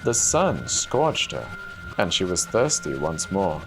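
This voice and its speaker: A man telling a fairy tale as a dramatic scripted narration, measured and formal. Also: low voice, big pitch swings, poor audio. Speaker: storyteller. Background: soft music.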